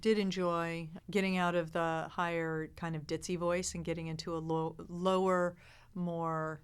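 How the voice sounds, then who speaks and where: A woman's voice: fairly low pitch, level tone, measured pace, smooth. A woman, an actress on a podcast panel, talking casually about her work. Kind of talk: casual chat.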